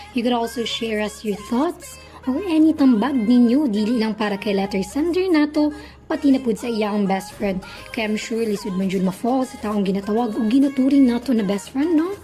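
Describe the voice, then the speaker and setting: Over a music bed, a woman acts a playful radio DJ with a Filipino accent in a call-in drama. Her voice sits fairly high. She teases and chats in a slangy mix of languages, hosting a love-advice show. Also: mellow, close to an everyday voice. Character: chatty, teasing female love-advice radio DJ. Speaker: radio host.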